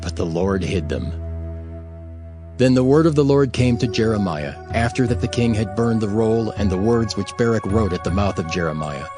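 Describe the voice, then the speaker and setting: Casting: man to narrate dramatized scripture, formal and expressive, medium pitch, clear music bed. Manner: formal, measured storytelling. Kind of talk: dramatized King James Bible narration.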